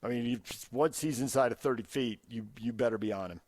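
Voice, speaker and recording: A man's voice: medium pitch, a little fast, a little mellow, dry recording. American guy cohosting sports radio, opinionated, argues point by point, really dry studio sound. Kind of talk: unscripted sports debate.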